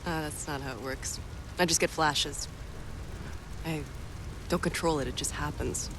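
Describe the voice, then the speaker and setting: A woman's voice: low voice, measured pace, background noise. A woman playing a blunt, foul-mouthed but vulnerable young woman haunted by visions in a video game cutscene. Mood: subdued, confiding. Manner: blunt, sweary, emphatic.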